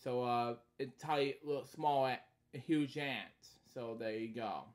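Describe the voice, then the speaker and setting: A man in his thirties hosting a bedtime story series, reading a children's book aloud in short halting lines with casual, repetitive asides. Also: medium pitch, a little hiss or hum. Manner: halting, repeating stock phrases between short read lines. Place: small indoor room at home.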